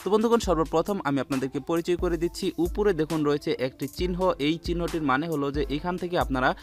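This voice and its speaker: A man's voice: on the high side, fast talker, soft background music. A man hosting a step-by-step app tutorial, repeatedly addressing viewers as friends. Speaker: tutorial host.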